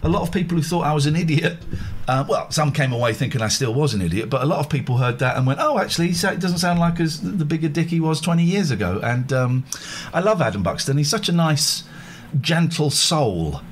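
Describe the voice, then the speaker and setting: A man co-hosting a casual late-night radio show, joking and rambling, now and then putting on a squeaky voice. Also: lively intonation, brisk, a little hiss or hum.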